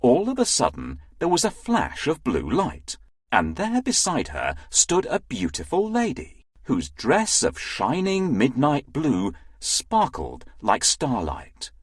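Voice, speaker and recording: A storyteller giving an expressive, scripted narration of a children's fairy tale. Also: mid-range voice, takes it slowly, breathy edge, no echo, clean studio sound.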